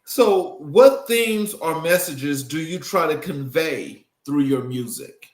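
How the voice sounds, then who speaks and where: A man's voice: on the high side, expressive, slow pace. A man hosting a conversational interview, asking questions and offering short affirmations. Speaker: interview host. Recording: roomy, echoey sound.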